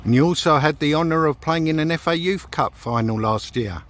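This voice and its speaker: A man interviewing a young footballer, asking questions in a measured, formal way. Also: fairly high pitch, a bit bright, slight background noise.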